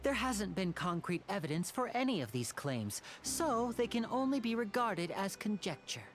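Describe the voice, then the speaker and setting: A woman's voice: medium pitch, big pitch swings, moderate pace, soft background music, natural voice. A woman playing a suave, courteous young male stage magician in an anime video game, polished and theatrical. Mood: grave, explaining.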